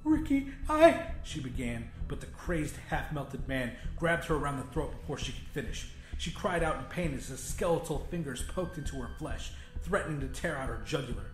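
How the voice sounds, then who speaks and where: A man's voice: medium pitch, brisk, few pauses, a bit bright, echoey room, faint music behind, poor audio. A man giving a dramatic, scripted narration of a horror story as an audiobook narrator.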